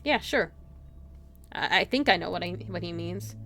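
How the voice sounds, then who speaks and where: A woman's voice: lowish voice, expressive, normal speed, creaky, fairly bright tone. A woman livestreaming a visual novel read-aloud, giggling and reacting aloud in casual asides. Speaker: streamer.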